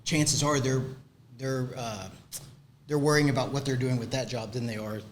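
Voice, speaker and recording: Texan realtor guy, earnest, trails off quiet at the end of lines, faint hum behind. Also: medium pitch, fairly even intonation, moderate pace. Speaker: real estate agent guest. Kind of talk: casual chat.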